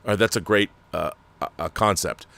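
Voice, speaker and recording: A man's voice: deep voice, normal speed, vocal fry, bright, forceful tone, no echo, soft background music. A man hosting his channel and reading viewer letters, ranting with exasperation and repeating words as he talks off the cuff. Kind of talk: unscripted commentary.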